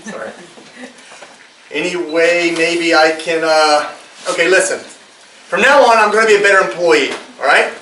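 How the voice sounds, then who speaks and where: Echoey, high-pitched, bumbling apologetic man played by an actor. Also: bright, forceful tone. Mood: sheepish, nervous. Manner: halting, stammering. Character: sheepish, bumbling young man, desperately apologetic pest. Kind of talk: staged screenplay table read, comedic acting. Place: live staged reading at a party. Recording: echoey room, distant mic, faint noise.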